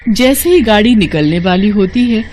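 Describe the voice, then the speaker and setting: A woman's voice: medium pitch, animated, moderate pace, darkish tone, slight background noise. A woman reading scripted narration as storyteller of a children's moral tale.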